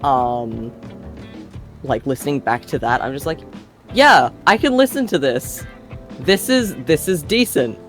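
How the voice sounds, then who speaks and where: Slow-talking Australian man. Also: medium pitch, very animated, a bit bright, faint music behind. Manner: unhurried, self-deprecating, laughs easily. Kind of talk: casual chat.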